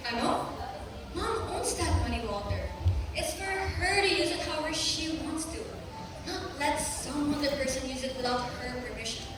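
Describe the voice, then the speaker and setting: A teenage girl acting a sharp-tongued young woman who wants to be a doctor, teasing and defiant on a school stage. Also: high voice, lively intonation, normal speed. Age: teens. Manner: teasing and defiant, draws out words. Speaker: student actor. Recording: echoey hall, far from the mic, noisy.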